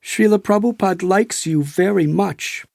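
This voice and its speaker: A man voicing a friendly, enthusiastic American devotee in audiobook dialogue, with a warm, animated, admiring manner. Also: high voice, normal speed, creaky, no echo, studio quality, voice pushed up. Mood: modest, admiring.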